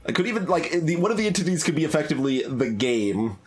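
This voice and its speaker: A man hosting a game playthrough, talking through his thoughts with many pauses and restarts. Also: on the high side, very animated. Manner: thinking aloud, many fillers and restarts. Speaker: let's play host. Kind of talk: casual game commentary.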